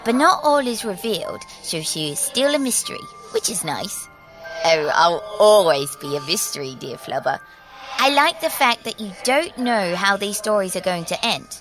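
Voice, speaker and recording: Creepy, lively little girl, music behind. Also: mid-range voice, moderate pace, rough recording. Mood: sweet, teasing. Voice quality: childlike. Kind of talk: in-character audio drama address to listeners. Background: music under the voice.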